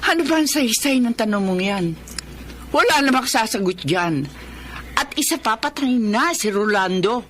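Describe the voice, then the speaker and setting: A woman's voice: on the high side, expressive, normal speed, close to an everyday voice. A woman playing a defiant, seductive widow in a secret affair, with dramatic, pointed delivery in a Tagalog radio drama. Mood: defiant, scornful. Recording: faint background noise.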